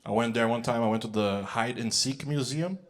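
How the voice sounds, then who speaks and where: A man's voice: mid-range voice, brisk. A man, a young adult, performing a stand-up comedy set on a large stage, telling stories loosely with fillers and acting out quotes. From United States. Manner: conversational storytelling with filler words and acted-out quotes. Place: large music hall on stage.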